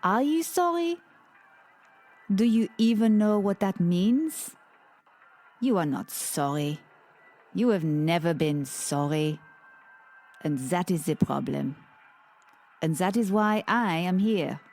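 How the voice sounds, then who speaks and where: A wrathful, weary fallen angel, played by a woman in a dark fantasy audio drama. Her voice sits a little low and moves very slowly, with deliberate, menacing pauses that give each line weight. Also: expressive, full character voice. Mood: cold accusation.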